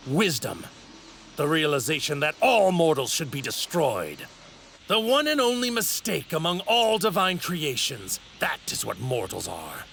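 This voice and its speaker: A godlike anime villain, arrogant and grandly theatrical. His forceful voice has a gravelly grain and swings widely in pitch. The diction is formal and pompous, as in a dubbed cartoon. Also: slight background noise, heavily acted. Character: arrogant godlike male villain in a stolen warrior body. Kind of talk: English anime dub acting.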